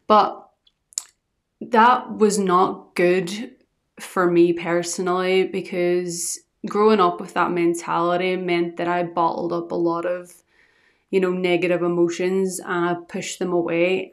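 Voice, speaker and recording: Chatty Northern Irish woman, low-pitched. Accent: Northern Irish, softened by Scottish. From Northern Ireland. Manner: chatty, rambling, earnest. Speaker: podcast host. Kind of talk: solo podcast monologue. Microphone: podcast microphone.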